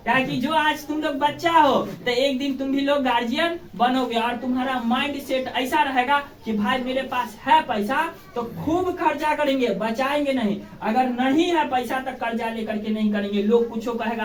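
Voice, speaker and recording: A woman teacher with a fairly high voice and a Bihari accent, recorded in a very echoey room a little away from the microphone. She speaks colloquially, urging her pupils on with rhetorical questions and frequent tag questions to check they understand. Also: recorded from a distance. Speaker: school history teacher. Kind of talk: informal classroom lesson with rhetorical questions.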